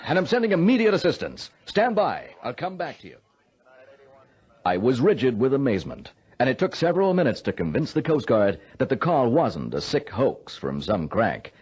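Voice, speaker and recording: An actor playing an earnest air control operator, delivering dramatic radio narration. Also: male voice, mid-range voice, darkish tone, slightly rough. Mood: urgent. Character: earnest male air control operator recounting a sea rescue.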